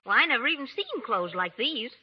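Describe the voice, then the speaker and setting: An actress playing a rowdy, tough frontier woman with rough slang, in a 1930s radio play. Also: a little high, lively intonation, a little fast, a little hiss or hum, natural voice. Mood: folksy. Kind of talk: 1930s radio drama acting. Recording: dull old radio recording.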